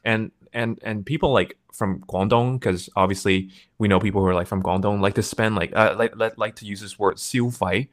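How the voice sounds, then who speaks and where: A man's voice: fairly low pitch, fairly even intonation, moderate pace, hardly any pauses, no echo, studio quality. A man in casual conversation, talking at length and filling his sentences with "like" and "right?". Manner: talkative, lots of "like" and "right?". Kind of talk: casual chat.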